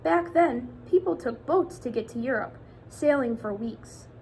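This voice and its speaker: A woman's voice: high voice, lively intonation, unhurried, gravelly, mellow, a little hiss or hum. A woman reading a picture book aloud to children, expressively, addressing them directly with questions like a teacher.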